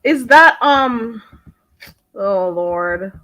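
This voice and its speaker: A woman's voice: fairly high pitch, expressive, extremely slow, a loud crowd, low-quality recording. A woman hosting a casual chat, friendly, asking long rambling questions. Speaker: host.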